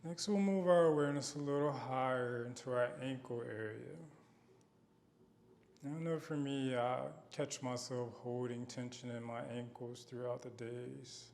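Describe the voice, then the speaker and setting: Gravelly-edged man guiding a meditation. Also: medium pitch, steady tone. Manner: gentle, unhurried, with long pauses. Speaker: meditation guide. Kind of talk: guided body scan meditation.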